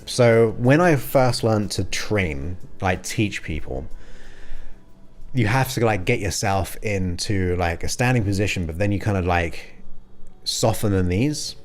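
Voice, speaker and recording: A man's voice: fairly low pitch, a little slow, faint music behind. A man in his forties hosting a livestream, chatting casually and rambling as he thanks viewers by name. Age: 40s. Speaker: livestream host. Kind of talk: casual chat with live viewers.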